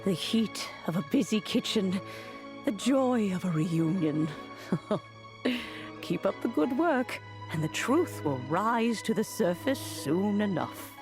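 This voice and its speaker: A woman voicing a wise, teasing master in a video game, with a measured and knowing delivery. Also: medium pitch, lively intonation. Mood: knowing, amused. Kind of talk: English video game voice acting. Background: game music.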